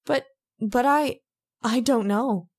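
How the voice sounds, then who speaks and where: A woman playing a fearful, haunted lady in an old-time radio drama, earnest and halting, pleading as she speaks. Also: a little high. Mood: bewildered panic.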